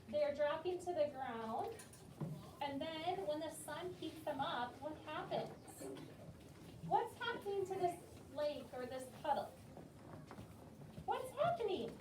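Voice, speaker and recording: A woman with a high voice teaches a class of young children. She asks simple questions and echoes answers back in an encouraging way. The sound is roomy and distant, with clear noise around her. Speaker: elementary school teacher leading a class.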